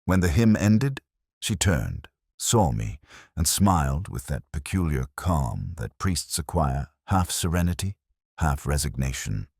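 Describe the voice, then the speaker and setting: A man with an extremely low, husky voice reads a first-person detective story. His delivery is measured and brooding, with a literary turn and a lively rise and fall in pitch. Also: no room sound, studio quality. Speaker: narrator.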